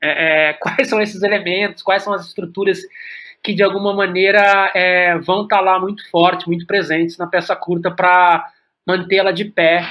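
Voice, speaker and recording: A man's voice: sits high, level tone, normal speed, pushed and bright. A Brazilian man speaking Portuguese as an interview guest, reflective and hesitant, often repeating words as he searches for the next one. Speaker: guest playwright and director. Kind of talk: reflective podcast interview talk.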